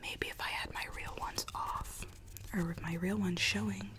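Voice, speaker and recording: Woman acting a whispering, quietly sinister storybook Alice. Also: lowish voice, steady tone, lightly acted. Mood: wistful. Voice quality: breathy whisper. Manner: hushed, slow, coaxing, quietly sinister. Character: whispering mad Alice, conspiring captor seeking her true self. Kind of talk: ASMR roleplay.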